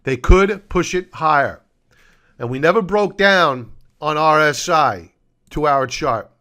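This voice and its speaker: A hoarse, middle-aged man, losing his voice, hosting a solo stock-market recap over charts and walking viewers through his reasoning. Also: a little high, pushed and bright. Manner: deliberate, explanatory, addresses viewers as folks. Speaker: market commentary host.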